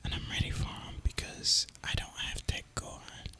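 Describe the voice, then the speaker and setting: Man whispering breathy, casual ASMR chat. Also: normal speed. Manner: relaxed, rambling, casual and reflective. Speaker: video creator and gamer.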